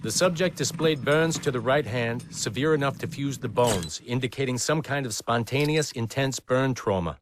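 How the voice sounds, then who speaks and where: An actor playing a self-assured forensic team leader in a video game, dictating a formal, matter-of-fact report. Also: male voice, mid-range voice, normal speed, few pauses, close to an everyday voice. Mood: clinical, matter-of-fact. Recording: noisy recorded log.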